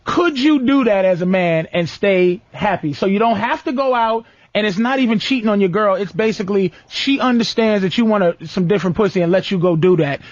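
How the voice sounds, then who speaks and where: A man's voice: high-pitched, fairly quick, few pauses, dry recording. A man hosting a talk show in crude, argumentative comic debate, blunt and profane, driving his points home with repetition. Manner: emphatic, repeating points. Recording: dull lo-fi dry studio audio.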